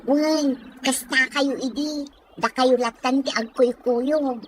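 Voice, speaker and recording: A woman's voice: high-pitched, fairly even intonation, slow pace, background noise. A woman with a Filipino Ilocano accent playing a frank, nosy young village friend in an audio drama.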